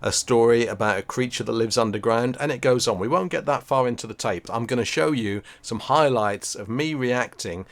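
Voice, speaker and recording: A man's voice: mid-range voice, normal speed, hardly any pauses. A middle-aged man from Suffolk with an English accent, giving casual reaction commentary as a YouTube host. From Suffolk, England.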